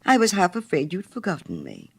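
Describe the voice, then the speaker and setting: A woman's voice: on the low side, wildly swooping pitch, a little fast, vocal fry, slightly rough, full character voice. A woman voicing a humble, trusting, eager-to-please little man in a storybook reading, earnest and guileless. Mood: grateful.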